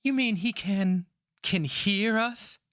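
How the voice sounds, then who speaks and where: A man's voice: high voice, takes it slowly, fairly bright tone, dry recording, studio quality, pitched above the performer's own voice. A man voicing a naive, horrified young girl with a hesitant, wincing delivery in a fantasy story. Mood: fearful. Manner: gasping questions, wincing, hesitant.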